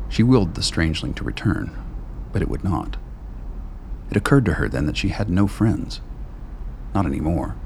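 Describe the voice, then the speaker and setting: A man narrating a radio play in a measured, grave way. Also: deep voice, a little mellow, slight background noise.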